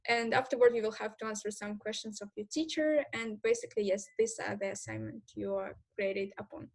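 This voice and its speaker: A young woman student explaining exam assignments to future students in a steady, methodical way. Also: medium pitch, fairly quick, gravelly. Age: young adult. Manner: steady, methodical, with occasional fillers. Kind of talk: informal explainer talk to prospective students.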